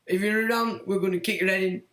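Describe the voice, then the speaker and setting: Brash, boastful older male leader, threatening, as a hoarse British man sends him up, roomy echo. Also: sits high, fairly even intonation, fast talker, a bit bright. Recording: echoey room.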